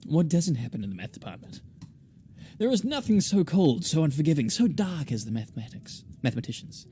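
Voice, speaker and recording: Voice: medium pitch, big pitch swings, a little fast, mellow, slight background noise, close to an everyday voice. A game player voicing a macabre, theatrical woman, a former shaman who tells grandiose, droll tales. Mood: grimly dramatic.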